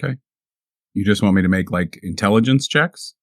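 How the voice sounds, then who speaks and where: Male podcast host and tabletop player, lowish voice, informal conversational delivery. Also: fairly quick, no echo, clean studio sound. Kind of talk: casual show intro and game talk.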